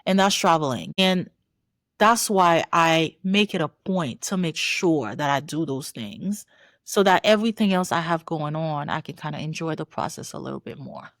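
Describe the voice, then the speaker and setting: Guest: woman from Haiti, lower pitch, easy storytelling, dry sound. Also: normal speed, dry recording. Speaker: physician guest. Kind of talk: casual interview chat.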